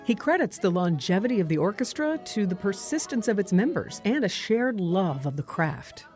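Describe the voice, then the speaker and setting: A woman's voice: medium pitch, sing-song, moderate pace, a little mellow. A woman giving scripted, polished narration for a news feature. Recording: music clearly behind the voice.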